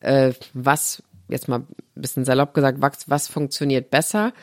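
A woman's voice: extremely low pitch, little pitch movement, normal speed, dry recording, studio quality. Earnest middle-aged woman explaining at length. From Germany. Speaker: guest, founder of a health platform. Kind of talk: podcast conversation.

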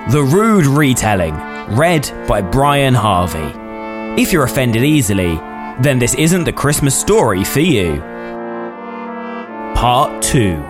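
A man's voice: mid-range voice, very animated, slow, long pauses. A man making a scripted title announcement as an intro announcer. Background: brass music.

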